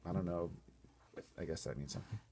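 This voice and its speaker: A man reading a storybook aloud in an animated way, playfully breaking off for casual asides to wonder aloud. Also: deep voice, fast talker, gravelly, husky.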